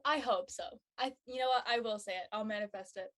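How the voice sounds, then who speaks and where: A woman with a fairly high-pitched voice chats casually on a panel. She is talkative and moves from thought to thought as she shares her predictions. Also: fairly quick, a bit bright. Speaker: actor on a drama panel. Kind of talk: casual chat.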